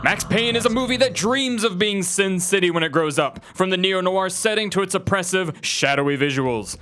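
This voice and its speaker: A man's voice: high-pitched, normal speed, bright, forceful tone. A man reading scripted narration for an entertainment video in the manner of a list presenter.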